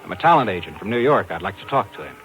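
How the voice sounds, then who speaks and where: An actor playing a brash, hard-boiled talent agent and narrator in a 1950s radio drama. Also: male voice, low-pitched, sing-song, racing, fairly bright tone, soft background music, exaggerated voice, rough recording. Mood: persistent. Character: brash, persistent male New York talent agent.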